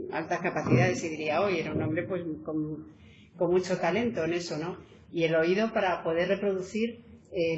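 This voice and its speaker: Woman author at a book talk, lots of fillers and restarts, recorded in a reverberant hall on muffled audio. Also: on the low side. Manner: animated, hesitant with many fillers and restarts. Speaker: author presenting her book. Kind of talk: conversational book presentation talk. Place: meeting hall. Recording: echoey hall, dull lo-fi sound.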